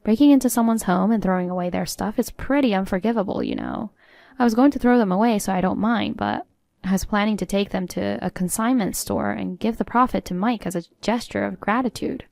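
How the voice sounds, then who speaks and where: A woman's voice: mid-range voice, expressive, fairly quick, gravelly, darkish tone, no echo, studio quality. A woman playing a defiant, sarcastic adult daughter-in-law in a story, composed and matter-of-fact.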